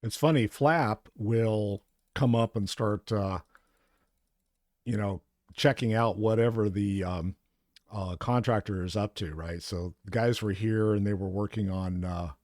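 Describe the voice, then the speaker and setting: Low-voiced man rambling over gameplay. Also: unhurried, darkish tone, no room sound, studio quality. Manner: rambling, many fillers and restarts. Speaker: co-host playing an online game. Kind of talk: casual gaming chat.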